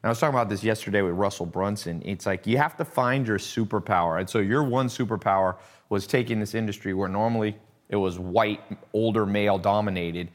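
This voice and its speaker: A man's voice: fairly low pitch, a little fast. A man hosting an interview, chatty and eager, often restarting his sentences. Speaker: interview host. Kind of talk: casual interview talk.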